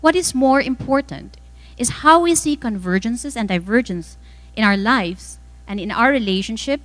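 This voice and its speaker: A woman with a Filipino accent reading a formal scripted speech as a guest speaker, measured and emphatic phrase by phrase. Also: fairly high pitch, expressive. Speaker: guest speaker, former mayor.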